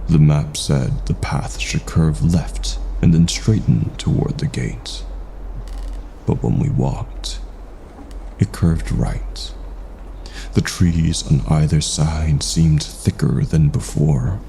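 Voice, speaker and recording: Male horror narrator: bass-deep, dark mellow tone, unhurried, hushed and ominous, faint noise behind. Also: creaky. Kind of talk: scripted first-person horror story narration.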